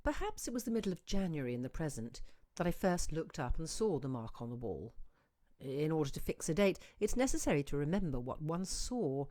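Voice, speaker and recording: A woman reading a short story aloud as an expressive, musing narrator with dramatic emphasis. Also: low voice, brisk, no room sound, studio quality. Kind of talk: expressive literary audiobook reading.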